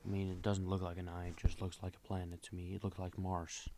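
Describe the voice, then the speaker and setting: A man's voice: deep voice, monotone, fast, mellow, slight background noise. A man giving casual, mocking reaction commentary, sounding incredulous and swearing freely. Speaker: reaction video host.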